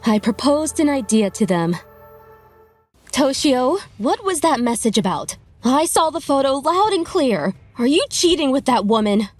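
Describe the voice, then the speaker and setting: A woman voicing a wronged but resolute young wife and mother in a dramatized manga dub, firm and expressive. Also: sits high, unhurried, no room sound, faint music behind, heavily acted. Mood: confronting.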